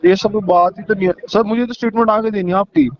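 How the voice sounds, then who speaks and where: Through a dull, lo-fi phone recording comes a man with a high voice. He talks like a loan recovery agent, pushy and impatient, repeating his demand for a straight answer. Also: fairly even intonation, fast talker, no echo. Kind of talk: pressuring phone conversation. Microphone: phone call.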